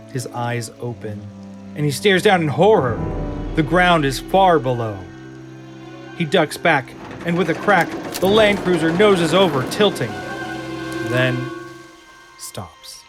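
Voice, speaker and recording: A man's voice: on the high side, slow, pauses often. A man reading a screenplay as dramatic scripted narration. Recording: music behind the voice. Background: prominent music.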